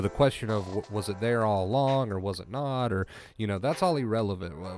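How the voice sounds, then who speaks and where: A congested man with a somewhat low voice hosts a casual livestream, reading out chat messages and thanking viewers by name. He reassures his audience calmly, repeating key phrases and clearing his throat now and then. Music plays clearly beneath his voice. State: stuffy nose, clears throat often. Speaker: livestream host coaching on anxiety. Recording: music clearly audible behind.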